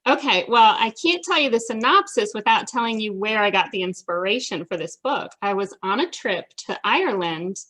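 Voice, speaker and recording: A middle-aged American woman speaks at a medium pitch as a guest author. She is chatty and enthusiastic, telling personal stories in a casual, conversational way. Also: lively intonation, normal speed, hardly any pauses, bright, forceful tone, low-quality recording. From United States. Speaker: interviewed children's author.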